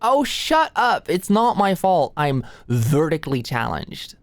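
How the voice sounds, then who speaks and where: Woman acting an anxious, slow-talking young study buddy. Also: low-pitched, very animated, no echo. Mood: playful, defensive. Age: young adult. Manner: nervous, stammering, hesitant, playfully defensive. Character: anxious, flustered, endearing short female medical student study buddy. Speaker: ASMR roleplay voice performer. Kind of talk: ASMR roleplay acting, intimate and conversational.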